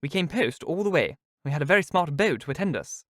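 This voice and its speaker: A silly, simpering young woman, put on by a male narrator, high and fluttering in a storybook reading. She protests with affected earnestness, her pitch leaping well above the reader's own. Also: animated, fast, a bit bright, no room sound, studio quality. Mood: exultant.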